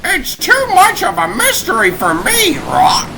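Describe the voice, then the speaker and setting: A woman's voice: very high-pitched, huge pitch swings, measured pace, gravelly, full character voice. A woman voicing a fretful cartoon bird sea captain who punctuates phrases with squawks, in a comic dub over noise. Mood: puzzled. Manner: squawking tic at ends of phrases. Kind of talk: comic book dub acting.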